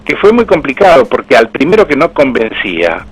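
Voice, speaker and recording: Un hombre mayor argentino, entrevistado en un programa de radio, habla con voz algo ronca y ritmo conversado. Se corrige a menudo y relata sus trabajos con orgullo. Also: male voice, medium pitch, huge pitch swings, brisk, husky, low-quality recording. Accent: Argentine Spanish. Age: older. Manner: storytelling, proud, with restarts. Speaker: voice actor interviewed. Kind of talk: anecdotal interview talk.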